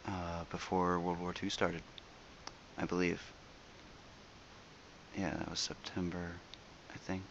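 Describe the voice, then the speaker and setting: A man casually introducing his audition in a hesitant, tentative, low-key way. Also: fairly bright tone, slight background noise, low-quality recording. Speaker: amateur voice actor auditioning.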